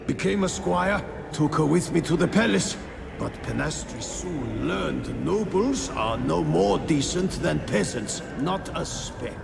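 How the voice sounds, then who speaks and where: An actor playing the remorseful ghost of a peasant-born squire, mournful and halting, in a video game. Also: male voice, fairly high pitch, animated, vocal fry, background noise, heavily acted. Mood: sorrowful. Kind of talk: dramatic video game acting.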